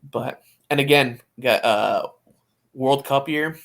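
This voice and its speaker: A man's voice: mid-range voice, takes it slowly, vocal fry, fairly bright tone. A man co-hosting a sports podcast in casual, opinionated conversation, often restarting his phrases. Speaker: soccer podcast co-host.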